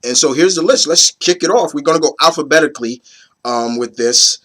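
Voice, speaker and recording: A hoarse man in his thirties, getting over a cold, hosting his opinion video in a rambling, casual way with many fillers and restarts. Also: fairly high pitch, fairly quick, fairly bright tone. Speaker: host of an opinion video series. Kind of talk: casual chat to camera.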